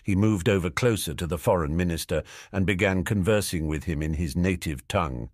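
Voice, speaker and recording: A man's voice: sits low, fairly quick, dry recording, studio quality. A man reading scripted fiction aloud as an audiobook narrator.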